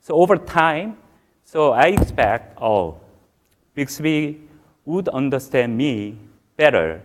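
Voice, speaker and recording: Man, Korean accent, forties, conference speaker, halting and full of fillers, mid-pitched. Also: very animated. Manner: halting, many fillers and restarts. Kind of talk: technical conference talk. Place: large conference hall stage.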